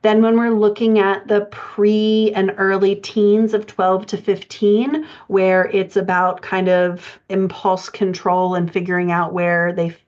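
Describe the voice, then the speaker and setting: A woman coaching writers in an explanatory lecture style, speaking haltingly with pauses as she thinks through her points. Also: medium pitch, little pitch movement, darkish tone. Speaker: writing coach presenting a podcast lesson. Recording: dull, band-limited audio.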